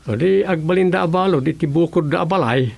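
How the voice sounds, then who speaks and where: Garalgal at paos na lalaking Ilokano. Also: male voice, fairly high pitch, fast talker, vocal fry, darkish tone. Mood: scornful. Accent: Ilocano. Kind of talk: Ilocano comedy radio drama acting.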